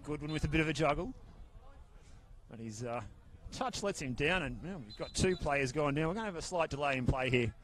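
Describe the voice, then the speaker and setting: A man calling a football match outdoors at a ground, soft-spoken and steady, speaking close into a handheld mic. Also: a little high, brisk, a crowd in the background. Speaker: football commentator. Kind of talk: live football commentary. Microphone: handheld mic held very close.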